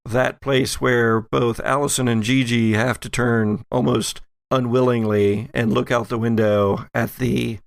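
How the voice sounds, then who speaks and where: A man narrating a tabletop game as game master, setting scenes cinematically in deliberate short phrases. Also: mid-range voice, flat delivery, gravelly, smooth, dry recording. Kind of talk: descriptive improvised narration for audio-drama tabletop game.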